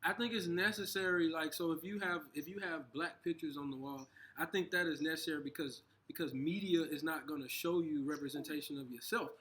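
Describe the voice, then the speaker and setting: A man co-hosting a casual conversation, talking in halting bursts with frequent restarts. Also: fairly high pitch, steady tone, a bit bright. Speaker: co-host.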